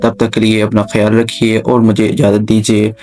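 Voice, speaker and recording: A man's voice: fairly low pitch, monotone, normal speed, darkish tone. Pakistani man lecturing over background music. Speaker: law lecturer and channel host. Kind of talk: explanatory lecture in simple terms.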